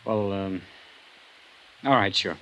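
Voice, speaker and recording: A man's voice: lowish voice, slow pace, a bit bright, slight background noise, low-quality recording. An American man playing a defensive, lovestruck, hot-tempered young suspect in a 1950s radio drama. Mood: wary.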